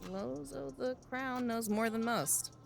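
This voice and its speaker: An actress playing a pushy, confrontational publicist who pushes hard and laughs at the others. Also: female voice, a little high, slow, gravelly, soft background music. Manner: assertive, sardonic, laughs often.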